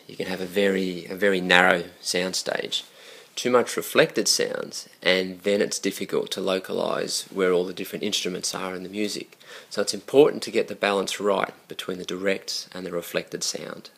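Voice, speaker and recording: Male product expert, very low and gravelly, explaining off the cuff. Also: fairly even intonation, normal speed, a bit bright. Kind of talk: unscripted explanation to camera.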